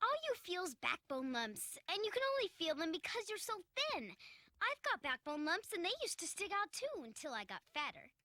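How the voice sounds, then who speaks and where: A woman playing a spirited, headstrong young girl in an animated drama, blunt, bossy and emphatic. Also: very high voice, normal speed, no room sound, clean studio sound, full character voice. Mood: reassuring.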